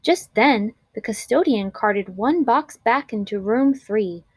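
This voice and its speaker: A woman reads a children's story aloud as narrator. Her voice is on the higher side and expressive, with clearly enunciated words. The recording is very dry, without audible room echo. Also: slow pace.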